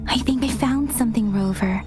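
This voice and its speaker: Woman acting earnest high-voiced young investigator. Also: brisk, close to an everyday voice. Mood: alert, cautious. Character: earnest, perceptive young female investigator, cautious and compassionate. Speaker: video game companion character. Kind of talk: scripted game dialogue acting. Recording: game music behind the voice.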